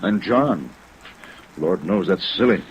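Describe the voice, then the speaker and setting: A man's voice: deep voice, lively intonation, slow. An actor playing a haggard, troubled businessman and loyal family friend in an old radio drama, speaking earnestly and hesitantly. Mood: earnest, businesslike. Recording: muffled, noisy vintage radio recording.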